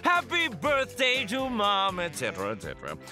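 A man playing an excitable, silly storybook narrator for a children's cartoon, with a playful, exaggerated, mock-dramatic delivery. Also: high-pitched, normal speed, faint music behind, exaggerated voice.

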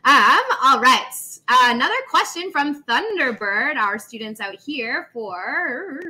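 Bright upbeat woman moderator. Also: high-pitched, expressive, takes it slowly, breathy edge, low-quality recording. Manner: upbeat, reads student questions aloud. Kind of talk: moderating a video panel. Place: indoor office room.

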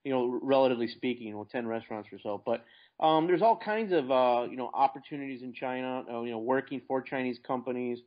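A man's voice: mid-range voice, quick pace. An American man talking casually and plainly as the guest in an investing interview. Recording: dull lo-fi internet call.

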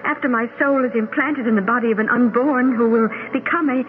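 A woman's voice: on the high side, brisk, close to an everyday voice. A muffled old radio broadcast with faint hiss carries a woman acting a devoted wife in the afterlife. She is earnest and emotional, pleading theatrically as in a seventies radio play. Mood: wistful. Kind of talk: 1970s radio drama acting.

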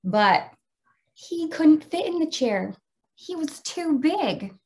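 A middle-aged woman with an American accent, explaining and reading aloud as a teacher of young children in an expressive way. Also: high voice, slow. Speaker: teacher demonstrating a read-aloud.

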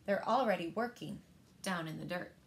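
A woman in her thirties reading a picture book aloud to children in a gentle, deliberate manner, pausing to ask them questions. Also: fairly low pitch, a little hiss or hum. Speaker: library storyteller. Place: indoors, small living room by windows.

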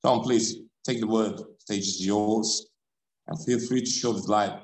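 A man's voice: on the low side, steady tone, unhurried, roomy sound, poor audio. A man hosting a video call, reading out a policy statement and then introducing the speaker. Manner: formal reading, then brief welcoming. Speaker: meeting host.

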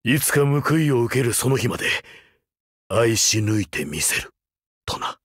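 A male actor playing a rugged older vampire hunter turned teacher in a game, wry, laconic and gruffly fatherly. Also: mid-range voice, expressive, unhurried, no echo, studio quality. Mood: solemn vow. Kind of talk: Japanese mobile game character voice lines.

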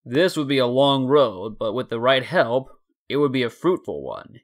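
A man's voice: medium pitch, animated, moderate pace. A man in his twenties reading a scripted story as a dramatic narrator. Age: 20s. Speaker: story narrator and channel host.

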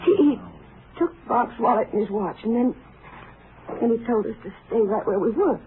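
An actress playing a nervous young rancher's daughter, hesitant and stammering, in a radio drama. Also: female voice, on the high side, normal speed, slight background noise, lightly acted. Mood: frightened, tearful. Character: frightened young rancher's daughter giving testimony. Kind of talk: 1950s radio drama acting. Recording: narrow-band old radio recording.

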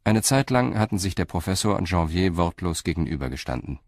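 Deep-voiced male crime story narrator. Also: sing-song, a little mellow, dry recording, clean studio sound. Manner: measured, sober, deliberate.

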